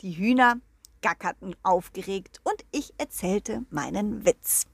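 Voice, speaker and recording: A woman narrating a scripted children's story in an expressive storyteller's style. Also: medium pitch, measured pace, vocal fry, husky, dry recording.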